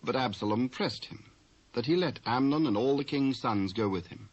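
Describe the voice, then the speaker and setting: A man's voice: medium pitch, animated, moderate pace. A British man giving a scripted dramatic narration of the Bible in formal archaic English. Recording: dull old cassette transfer.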